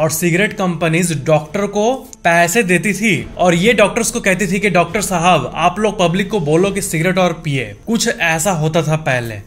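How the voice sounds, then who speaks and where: A man's voice: high voice, a bit bright. A young man presents a countdown of facts to camera, explaining emphatically and repeating key points. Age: young adult. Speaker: YouTube host. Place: small indoor office room at a desk. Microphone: desk condenser microphone in front of him. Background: background music.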